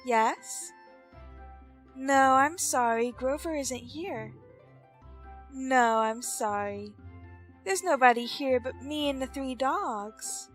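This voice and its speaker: A woman playing a wife with cold vengeance beneath genteel manners, in theatrical, deliberate 1940s radio drama style. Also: high-pitched, faint music behind. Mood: composed, cold. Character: frightened wife turned coolly vengeful, chanting a spell.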